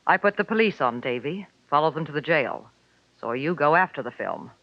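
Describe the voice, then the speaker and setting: A woman's voice: deep voice, very animated, brisk, creaky, no echo, full character voice. Dry, muffled vintage radio recording, scheming noir woman, formal, insistent, cool. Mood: insistent, evasive. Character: persistent, scheming blonde woman, cool and evasive. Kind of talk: 1940s radio detective drama acting.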